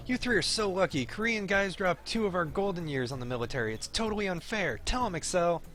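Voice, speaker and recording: A man's voice: sits high, animated, fairly quick, fairly bright tone, pitched above the performer's own voice. A man voicing a sardonic, teasing young male gamer with a needling manner in a visual novel. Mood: complaining.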